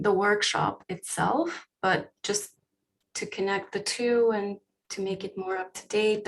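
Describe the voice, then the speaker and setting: Woman, panel therapist, gravelly fry, measured reading over a video call. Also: medium pitch, low-quality recording. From Ukraine. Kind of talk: reading a prepared personal statement.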